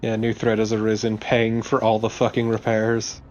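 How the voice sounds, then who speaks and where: A man's voice: fairly low pitch, monotone, moderate pace, darkish tone, highs cut off, slight background noise. A man co-commentating on a video game in a casual, wisecracking way. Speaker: let's play co-commentator.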